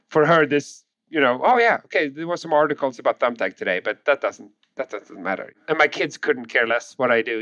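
A man in his forties from Sweden with a Swedish accent, telling his story as a podcast guest in a relaxed way with frequent chuckles. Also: a little high, no echo, dull audio. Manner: relaxed, chuckling, restarts often. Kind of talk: conversational interview.

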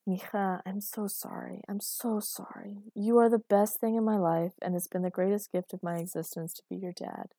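A woman's voice: fairly low pitch, fairly quick, few pauses, warm tone, dry recording, studio quality, close to an everyday voice. A woman voicing a caring, put-upon father in his thirties, earnest and protesting, in audiobook dialogue. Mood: tender, apologetic.

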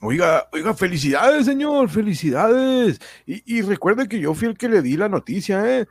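A man's voice: sits high, sing-song, moderate pace, slightly rough, no room sound, heavily acted. A man playing an eager, pushy young neighbour in a radio serial, stammering and repeating himself breathlessly. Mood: breathless excitement. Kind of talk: radionovela acting.

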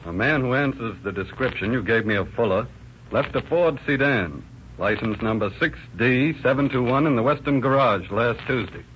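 An actor playing a sheriff who gives a matter-of-fact report, in a 1930s radio drama. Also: male voice, medium pitch, a bit bright, close to an everyday voice. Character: sheriff reporting his findings. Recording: dull old radio recording with hiss.

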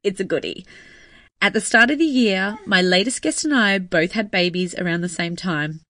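Australian woman, podcast host, bubbly and upbeat, dry close sound, mid-pitched voice. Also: moderate pace, fairly bright tone, dry recording. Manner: bubbly, enthusiastic. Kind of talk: scripted intro then casual chat.

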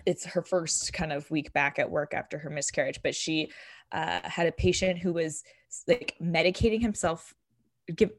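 A young woman with a booming voice, co-hosting a podcast and recapping a TV episode in casual, filler-heavy chat. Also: fairly even intonation, moderate pace, no echo. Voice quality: booming voice that projects. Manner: chatty, full of fillers like "like" and "um". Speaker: podcast co-host.

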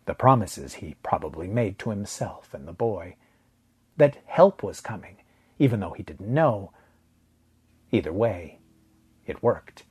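A man reading first-person fiction as a narrator, in a measured, grave storytelling manner. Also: on the low side, darkish tone, breathy edge. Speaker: audiobook narrator.

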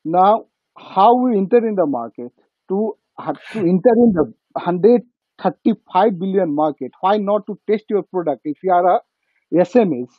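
A middle-aged man with a Nepali accent discussing business informally, speaking haltingly and repeating phrases for emphasis, as a guest. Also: high voice, lively intonation, slightly rough, no room sound. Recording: thin phone-like call audio.

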